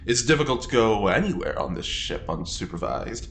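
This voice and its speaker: A woman's voice: rumbling low, animated, moderate pace, creaky, slight background noise, exaggerated voice. A woman playing a charming, wry older prisoner in an audio drama, a theatrical rebel who teases and drawls. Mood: wry, teasing.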